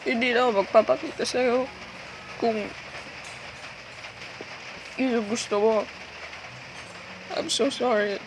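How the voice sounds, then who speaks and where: A woman with a Filipino accent playing a heartbroken, self-sacrificing girlfriend in an ASMR roleplay, speaking in a halting, tender, pleading way. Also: a little high, fairly even intonation. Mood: tearful, apologetic. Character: heartbroken, self-sacrificing long-time girlfriend letting her partner go. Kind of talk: ASMR girlfriend roleplay, intimate one-sided dialogue. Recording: clearly noisy background.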